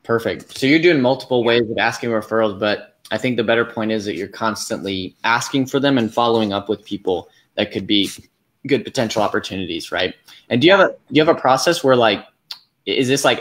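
A young man hosting a live interview over a video call from a small room, talking in a relaxed way with plenty of fillers. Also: fairly low pitch, quick pace. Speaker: business coach host. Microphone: webcam on video call.

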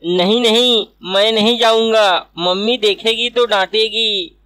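A timid young cartoon boy, voiced by a woman, speaks at a middling pitch for a female voice. He sounds whiny and anxious, recorded close and dry. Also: fairly even intonation, measured pace, fairly bright tone, no room sound, lightly acted. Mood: frightened refusal. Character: timid young boy afraid of his mother. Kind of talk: cartoon dialogue.

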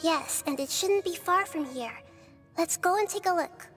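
Game role: wise child goddess, high voice, calm and thoughtful explainer, clear music behind. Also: female voice, moderate pace. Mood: calm, explanatory. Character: gentle, wise young girl deity, calm and thoughtful. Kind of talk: video game character acting.

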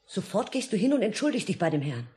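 A woman's voice: mid-range voice, big pitch swings, fast talker, darkish tone, close to an everyday voice. Woman acting homely scolding mom, flustered, voice swings a lot, old radio drama. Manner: fussy, plain-spoken. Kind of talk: 1950s German radio play acting.

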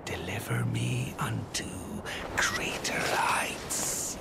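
An actor playing a pompous, power-hungry old demigod lord in a video game, gravelly and theatrical in archaic speech. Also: male voice, mid-range voice, extremely slow, in a whisper, full character voice. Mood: reverent, craving. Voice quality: gravelly, creaky. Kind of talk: dramatic video game acting. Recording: loud ambient noise over voice.

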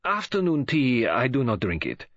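A man voices a fastidious old detective who talks with formal, precise care. His speech is slow and mournful, scattered with French phrases. The voice is husky, and the recording sounds a bit dull. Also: medium pitch, sing-song, no echo. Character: fastidious elderly male foreign detective.

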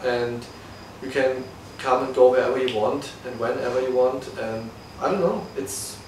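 Man from Berlin, thirties, relaxed plain talk, distant camera mic, echoey room. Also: medium pitch, normal speed, a little hiss or hum. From Berlin, Germany. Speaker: guest. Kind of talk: casual interview. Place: living room of a guesthouse, indoors. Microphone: far camera.

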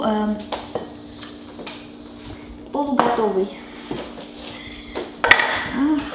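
A woman's voice: high-pitched, noisy background. Woman hosting a cooking show. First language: Armenian. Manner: chatty, searches for Russian words, slips into English. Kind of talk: casual cooking demonstration. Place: large home kitchen. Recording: echoey kitchen with background music.

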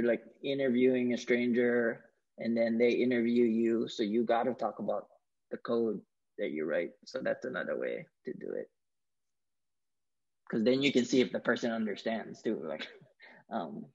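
A man in his thirties talks casually and haltingly, filling pauses with ums. His voice is husky with a gravelly fry. The sound is dull and lo-fi, as heard over a video call. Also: medium pitch, a little mellow. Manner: hesitant, many ums. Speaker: meetup organizer. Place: living room at home. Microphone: earbuds on video call.

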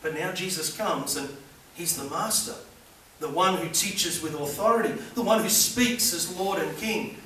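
A man's voice: sits high, measured pace, sharp tone. A middle-aged man preaching a sermon to a small congregation, earnest and building emphasis. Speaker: pastor. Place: small church hall with congregation. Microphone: far camera at back of room. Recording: echoey room recorded from far off with faint hiss.

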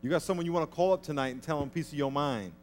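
A man in his thirties preaching a sermon on a large church stage into a headset mic, lively and acting out people's grudges. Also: a little high, rapid-fire, slight background noise. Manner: animated, acts out petty grudges in a mocking voice. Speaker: pastor.

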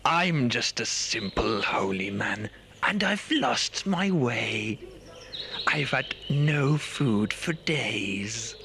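A man's voice: animated, drawn-out words, background noise. A man voicing a wicked demon king who poses as a frail holy man, wheedling and sly. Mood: pitiful. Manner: sly, wheedling, then booming.

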